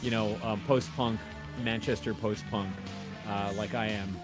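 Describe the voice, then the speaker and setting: A man with a low voice talks casually over clearly audible music. He rambles in a loose, digressive way, feeling for the next detail as he goes. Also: fairly even intonation, measured pace. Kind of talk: casual chat.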